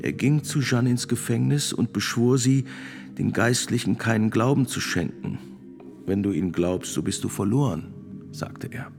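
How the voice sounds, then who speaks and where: An actor playing a grave priest and notary of sixty-six, testifying in a radio drama with gravelly, deliberate, formal speech. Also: male voice, medium pitch, music in the background, lightly acted. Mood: grave, bitter. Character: sixty-six-year-old male priest and court notary, grave witness.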